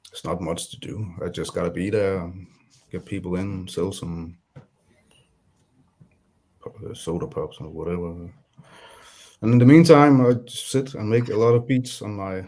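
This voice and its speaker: A man with a Danish accent, speaking English as a second language, talking about his beat production as a guest in a casual interview, pausing often to find his words. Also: low-pitched, darkish tone. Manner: halting, searching for words.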